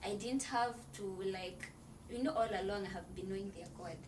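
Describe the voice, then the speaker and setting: A woman's voice: medium pitch, normal speed, a little mellow, distant mic, a little hiss or hum, low-quality recording. A woman podcast guest in an earnest, preacherly, faith-centered conversation, counting off her points and often filling with "like.". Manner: loose filler-heavy phrasing with frequent "like". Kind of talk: faith-based motivational conversation.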